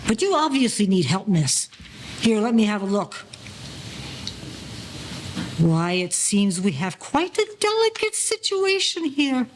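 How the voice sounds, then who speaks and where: A man's voice: high voice, slight background noise. A man playing a confident, slangy 1940s gangster turned midwife in an old-time radio crime drama. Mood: wry, take-charge.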